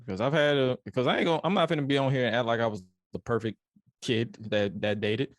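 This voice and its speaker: A man's voice: mid-range voice, fast, no room sound, clean studio sound. A man in his twenties hosting a podcast, talking candidly in a laid-back way and acting out people he quotes. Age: 20s. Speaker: podcast host. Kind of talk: casual podcast chat.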